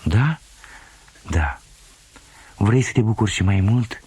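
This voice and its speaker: A man's voice: fairly low pitch, animated, a little mellow, husky, pitched above the performer's own voice. A man voicing a little girl in a children's story, innocent and eager to explain herself. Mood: earnest confessing.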